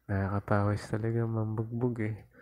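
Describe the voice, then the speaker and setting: A man's voice: lowish voice, darkish tone, no echo. A man playing a cocky, teasing young boyfriend in an intimate ASMR roleplay, with a Filipino accent and a playful, coaxing manner. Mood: playful, relaxed. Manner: playful, coaxing, chuckling, mock-whining. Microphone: lapel mic into mobile phone, close.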